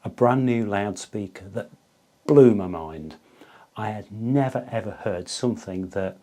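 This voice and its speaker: Low-voiced man, chatty storyteller. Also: darkish tone. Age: 50s. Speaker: video host, hi-fi enthusiast. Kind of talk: casual storytelling vlog to camera.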